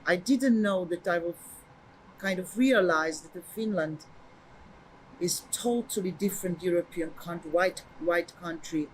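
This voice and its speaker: A woman's voice: lowish voice, measured pace, a little hiss or hum, poor audio. A middle-aged woman from Serbia with a Serbian accent, telling her story as an artist and activist in a hesitant way, with many fillers and restarts. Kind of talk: personal account to camera.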